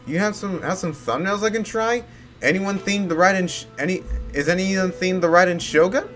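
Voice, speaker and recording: A man's voice: high-pitched, moderate pace, fairly bright tone, soft background music. A man streaming a video game, rambling playfully and tripping over his words. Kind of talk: casual gameplay commentary.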